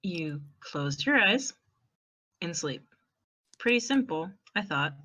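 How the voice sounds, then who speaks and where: A woman voicing a dry, reserved, teasing young man with a deadpan delivery in a fan audio drama. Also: on the low side, slow, heavily acted.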